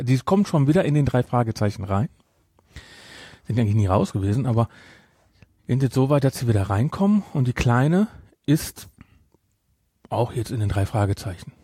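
A middle-aged man chats as a podcast host. His voice is dark and husky, recorded very dry. He gives his views casually, repeating points for emphasis. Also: medium pitch, no room sound.

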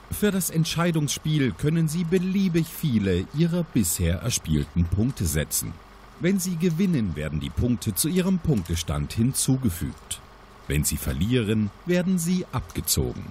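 Audio drama role: solemn, formal agency instructor played by a man, lower register, very expressive intonation, faint background noise. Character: solemn male agency instructor briefing agent trainees. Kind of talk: scripted audio drama narration.

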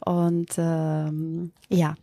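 A woman's voice: low voice, completely flat delivery, very slow, gravelly, mellow, no echo. A woman in her thirties, a native Macedonian speaker with a Macedonian accent, answering interview questions with many hesitations and restarts. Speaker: yoga teacher, interview guest.

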